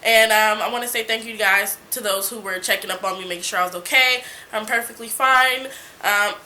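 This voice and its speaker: A woman's voice: medium pitch, moderate pace, pushed and bright, a little hiss or hum. A young woman with a congested voice from a cold, telling a casual story to her channel, chatty with many fillers. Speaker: vlogger. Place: small indoor room before a folding screen. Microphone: camera in front, seated at mid distance.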